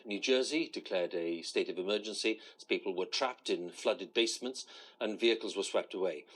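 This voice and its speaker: A man's voice: fairly low pitch, fairly bright tone. A middle-aged British man reading the news formally as a studio anchor.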